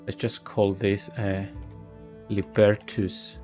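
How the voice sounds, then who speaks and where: Man, low voice, gaming commentary. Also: soft background music. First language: Greek. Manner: thinking aloud, relaxed and unhurried. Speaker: gaming video host. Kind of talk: casual gameplay commentary.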